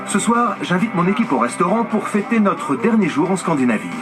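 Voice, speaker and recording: A man's voice: high voice, very animated, brisk. A middle-aged man dubbing a documentary subject in an earnest, heartfelt voice-over. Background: music.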